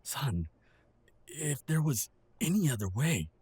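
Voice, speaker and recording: Audio drama father male actor: earnest, hesitant, middle-aged, heavily raspy, animated pitch. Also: slow pace, dry recording, heavily acted. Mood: pleading, hesitant. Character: aging defensive father of a grown werewolf son. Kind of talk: audio roleplay drama acting.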